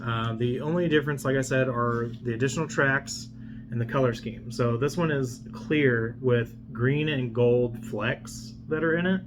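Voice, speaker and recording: A man's voice: medium pitch, moderate pace. Casual man rambling over a background hum. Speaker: vlogger presenting an unboxing. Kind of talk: casual unscripted product unboxing chat. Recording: clear steady background hum.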